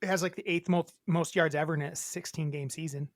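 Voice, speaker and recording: A woman co-hosting a podcast, chatting casually with crude, joking banter and a high voice. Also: steady tone, a little fast. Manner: crude, jokey banter about football. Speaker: podcast co-host. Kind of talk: casual chat.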